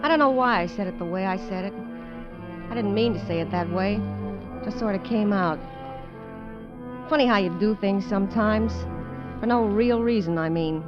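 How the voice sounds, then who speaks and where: An actress playing a weary, candid dancer adrift on a derelict ship in 1950s radio drama style, talking in a hesitant, low-key way. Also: female voice, normal speed, pauses often, close to an everyday voice. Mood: uneasy, confiding. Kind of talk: 1950s radio drama acting and first-person narration. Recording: dull vintage radio, music behind.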